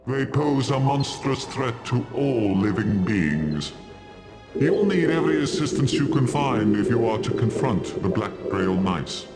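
Guy doing a stately elf king in a game, grave and formal, mid pitch, clear music behind. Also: lively intonation, unhurried, echoey room, natural voice. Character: solemn, stately male elf king of the forest. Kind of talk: video game voice acting.

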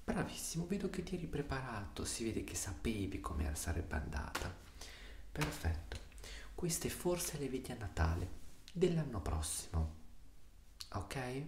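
A young man playing a stern, exasperated father in a soft-spoken ASMR roleplay, hushed and often slipping into whispers. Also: mid-range voice, very animated, a little slow. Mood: stern, demanding. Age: 20s. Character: stern, exasperated, sarcastic Italian father scolding his son. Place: small room indoors. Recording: roomy echo, faint hiss.

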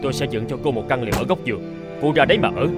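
An actor playing a bitter husband in a cartoon folk tale, speaking in an emphatic, dramatic way. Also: male voice, mid-range voice, animated, rapid-fire, over loud music, lightly acted. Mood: cold, bitter. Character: bitter, cold husband who turns terrified and begging. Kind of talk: Vietnamese cartoon voice acting.

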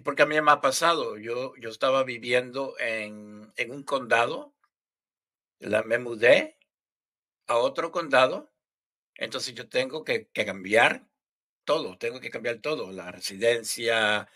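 A man in his sixties from Puerto Rico, with a Puerto Rican accent, explaining things haltingly as a guest on a video call. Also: mid-range voice, pushed and bright. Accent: Puerto Rican Spanish. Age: 60s. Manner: halting, repeats words while explaining. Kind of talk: casual interview answers. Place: home room, indoors. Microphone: headset mic on video call.

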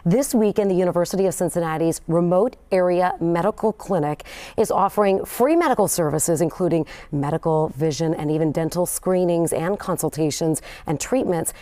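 A woman's voice: lowish voice, brisk, mellow. A middle-aged woman anchoring a TV news segment in a studio on a lapel mic, upbeat and emphatic as she interviews guests.

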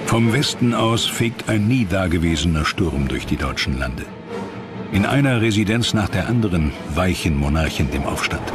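A man narrating a scripted historical documentary in a measured, dramatic way. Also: sits low, lively intonation. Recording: dramatic music behind the voice. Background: music.

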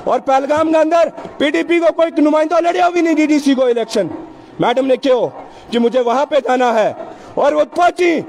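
A man, giving an impassioned political speech into podium microphones on an outdoor stage and shouting rhetorical questions. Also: very high voice, vocal fry. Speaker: political rally speaker. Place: outdoor tented stage at a rally. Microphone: several podium microphones.

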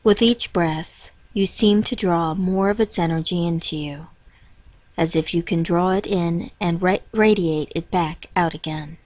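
A woman reading a scripted guided meditation in a measured, instructing manner with pauses between phrases. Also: on the low side, a little mellow. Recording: dull, roomy echoing lo-fi recording.

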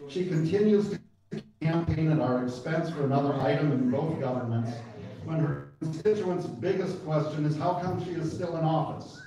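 A man's voice: fairly high pitch, moderate pace. An American man delivering a prepared, formal and pointed public comment at a meeting. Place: large indoor meeting room, from far off. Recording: echoey meeting hall, distant, crowd noise.

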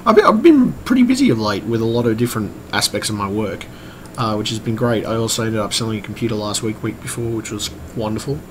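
A man talks in a loose, rambling vlog style at a middling pitch. His voice is croaky and strained, and he pauses and hesitates as he goes. Clear noise sits behind him throughout the recording. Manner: hesitant, rambling, swears casually. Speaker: vlogger and computer repairer. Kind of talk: rambling casual update vlog. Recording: clearly noisy room recording.

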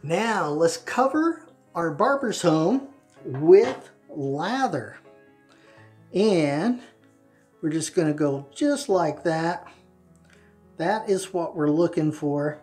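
Man in his fifties, hobby presenter, very slow deliberate delivery, music behind. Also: on the high side, lively intonation, a little mellow. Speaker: presenter of a wet shaving channel. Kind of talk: instructional product demonstration to camera. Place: small tiled home bathroom, indoors.

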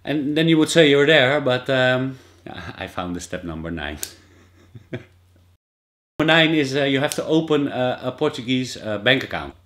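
A man's voice: mid-range voice, very animated, a little slow, a bit bright. A man in his thirties with a Dutch accent, explaining steps in a casual vlog with many fillers and restarts, recorded indoors in a small office by a desk camera. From Netherlands. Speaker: vlogger.

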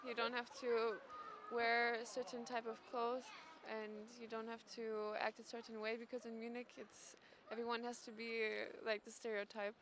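A woman's voice: fairly high pitch, monotone, normal speed, hardly any pauses. Young woman off-mic on a noisy street. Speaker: passer-by interviewee. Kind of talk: street vox pop. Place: outdoors on a city street at night.

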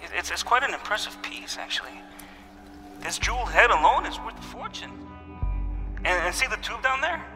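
An actor playing a wary, bookish soldier in an audio drama, by turns sarcastic and earnest. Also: male voice, high voice, very animated, moderate pace, long pauses, pushed and bright, exaggerated voice. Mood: fascinated. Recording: long hall reverb, music underneath.

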